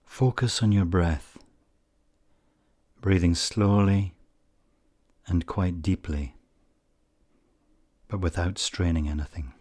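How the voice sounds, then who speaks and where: A man leading a guided meditation in a calm, measured way with frequent pauses, his husky voice softening at the ends of phrases. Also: low-pitched. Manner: calm, measured, pausing often. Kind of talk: slow guided meditation narration.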